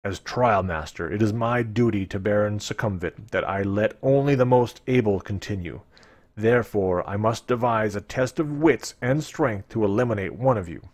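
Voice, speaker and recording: A man voicing a stern dwarf trial master from a fantasy gamebook, speaking in a deep, formal, measured way. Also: close to an everyday voice. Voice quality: deep-voiced.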